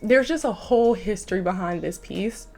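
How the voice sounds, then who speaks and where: A woman's voice: mid-range voice, normal speed, darkish tone. A young woman with a stuffy nose from a slight cold, chatting enthusiastically to camera in a casual fashion vlog. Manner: chatty and enthusiastic, says "like" often. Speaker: fashion vlogger.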